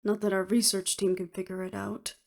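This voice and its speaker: A woman's voice: medium pitch, flat delivery, a little fast, creaky, darkish tone, natural voice. A woman playing a cool, determined woman official in an audio roleplay, with clipped, businesslike delivery. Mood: skeptical. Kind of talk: scripted audio roleplay acting.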